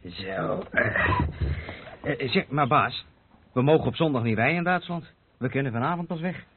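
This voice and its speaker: Lorry driver, radio play male actor: medium pitch, informal, easygoing, phone-like old recording. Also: expressive, measured pace, slight background noise, lightly acted. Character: easygoing lorry driver, loyal, teasing employee. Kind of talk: Dutch radio play acting.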